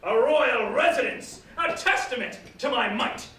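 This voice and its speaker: A man playing a defiant, devout captive storyteller in a theatrical staged reading, with earnest, oratorical, measured phrasing. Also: extremely high pitch, animated, sharp tone, a little hiss or hum, heavily acted. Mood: solemn storytelling. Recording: roomy, distant live stage recording.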